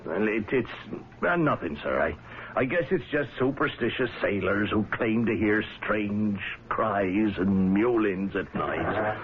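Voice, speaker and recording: An anxious, deferential first mate in a vintage radio play. His fairly low voice moves up and down as he stammers. The recording is dull and clearly noisy. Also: animated, a little slow. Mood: nervous. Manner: hesitant, stammering. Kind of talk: old-time radio drama acting.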